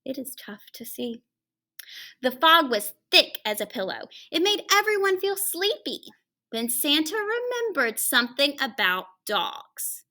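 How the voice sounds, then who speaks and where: A woman reads a children's story aloud in a high, bright voice with very lively pitch. She plays up the drama with pauses and drops into hushed, chatty asides to the young listeners. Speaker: teacher reading a picture book aloud to children.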